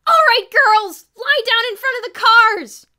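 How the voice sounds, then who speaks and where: A woman voicing a teenage girl who screams orders to a crowd over a loudspeaker. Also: very high voice, lively intonation, moderate pace, pitched above the performer's own voice, full character voice. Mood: shrieking.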